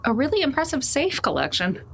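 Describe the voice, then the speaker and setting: A woman's voice: sits high, wildly swooping pitch, a little fast, creaky. A woman playing a tabletop roleplaying game, improvising in a loose, jokey, conversational way. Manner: loose, jokey, prone to chuckling. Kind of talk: casual in-character improvised chat. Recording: faint background noise.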